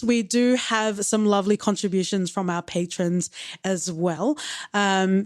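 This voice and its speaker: A woman's voice: mid-range voice, darkish tone, dry recording. Earnest woman, dry close mic. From Australia. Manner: earnest and openly emotional, with wry humor. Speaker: podcast co-host. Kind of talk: reflective casual chat.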